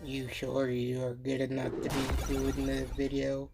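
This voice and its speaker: A man voicing a brooding male hedgehog antihero, speaking slowly and formally in a flat tone. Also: medium pitch, over music, pitched below the performer's own voice, close to an everyday voice. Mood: stern.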